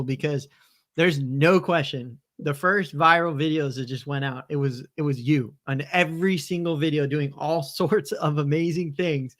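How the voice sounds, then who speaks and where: A man's voice: on the high side, pushed and bright. A man hosting a casual live interview, asking questions in an eager, effusive and praising way. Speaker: podcast host.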